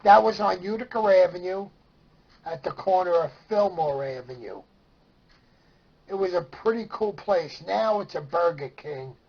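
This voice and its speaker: A middle-aged man with a Brooklyn New York accent and a husky, gravelly voice, casually reminiscing in a plainspoken, meandering way. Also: high voice, a little slow, muffled recording. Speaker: storyteller sharing memories of CB radio.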